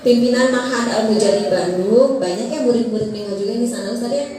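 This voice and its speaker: A woman moderates cheerfully and playfully, reading out a background and putting questions to a speaker. Her voice is somewhat high and echoes strongly through a hall, captured by a distant mic. An audience murmurs behind her. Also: a little fast, crowd noise.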